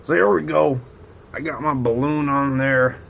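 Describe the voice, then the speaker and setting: A man with a heavily gravelly, fry-laden voice hosts a casual homemade how-to. His delivery is halting and unsure, rambling along and often trailing off. The pitch sits in an ordinary male middle range. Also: fairly bright tone, slight background noise. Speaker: host of a DIY video. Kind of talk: casual, improvised how-to demonstration.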